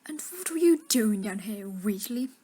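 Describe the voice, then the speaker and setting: A woman's voice: mid-range voice, expressive, moderate pace, dark tone, slight background noise, close to an everyday voice. A woman playing a sneering, arrogant schoolboy wizard bully in an audio drama.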